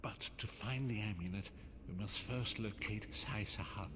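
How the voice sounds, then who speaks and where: An actor playing a solemn, cryptic elderly prophet in a fantasy video game, speaking in a measured, formal way. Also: male voice, fairly low pitch, fairly bright tone, natural voice. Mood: solemn, mystical. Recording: long cavernous reverb with background noise.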